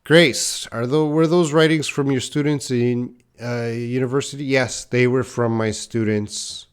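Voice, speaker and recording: Middle-aged man, English teacher, live class, unhurried delivery, mid-range pitch, plain-spoken, replies to student comments. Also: steady tone, smooth. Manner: plain-spoken, addresses learners by name, repeats phrases for emphasis.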